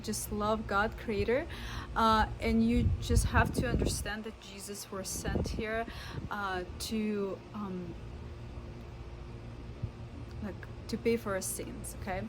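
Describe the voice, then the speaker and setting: A woman's voice: mid-range voice, little pitch movement. Russian-accented woman talking earnestly over noise. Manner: earnest, halting, many fillers and restarts. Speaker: vlogger. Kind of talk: casual talk to camera.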